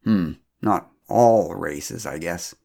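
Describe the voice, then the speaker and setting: A sardonic husband drawls his reply, dragging out the words, voiced by a man reading a story. His low, creaky tone stays close to the reader's own. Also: warm tone, heavily acted. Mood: needling. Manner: slow, drawn-out emphasis. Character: dry, needling middle-aged husband.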